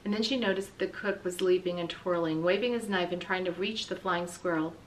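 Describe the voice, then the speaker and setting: A middle-aged woman reads a children's story aloud with expressive, dramatic, deliberate emphasis. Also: lowish voice. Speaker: school read-aloud reader. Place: small indoor room with bookshelf and blinds. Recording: distant mic, light room noise.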